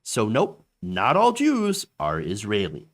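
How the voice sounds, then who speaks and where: A man hosting a casual political commentary, reading quotes and giving his opinion with many fillers and restarts. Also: slow pace. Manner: lively pitch with frequent fillers and restarts; reads quotes aloud with raised pitch.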